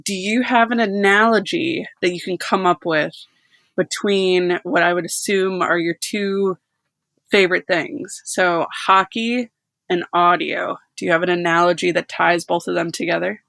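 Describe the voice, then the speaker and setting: A woman taking her time to frame a question in friendly conversation. Her voice has a gravelly fry to it. The sound is dry and close, with no room echo. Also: lowish voice, fairly even intonation, fairly bright tone. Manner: careful, deliberate question-asking. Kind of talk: casual group chat.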